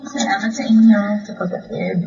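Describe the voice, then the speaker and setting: An actress playing a meek, burdened Filipino working wife in a radio drama, polite and deferential. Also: female voice, mid-range voice, fairly even intonation, brisk, mellow, slightly rough. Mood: polite, grateful. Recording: dull, noisy lo-fi drama recording.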